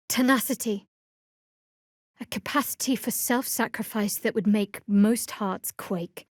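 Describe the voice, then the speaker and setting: Young female dark cleric, fantasy game, sardonic, guarded, slightly high pitch, close and echo-free. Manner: dry, guarded, wry delivery.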